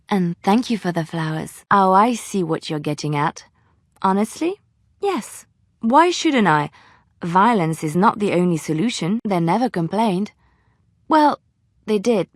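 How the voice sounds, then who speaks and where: A woman voicing a romantic, teasing young adventuress in a video game, with soft, expressive, earnest phrasing. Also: mid-range voice, dry recording, clean studio sound. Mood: warm, playful. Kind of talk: video game character dialogue.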